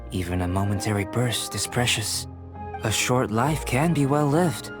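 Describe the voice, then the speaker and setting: A man playing a calm, reflective young alchemist. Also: mid-range voice, music in the background, natural voice. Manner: measured, soft-spoken and reflective. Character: calm young male alchemist, thoughtful and gentle. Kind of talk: fan dub of a game cutscene.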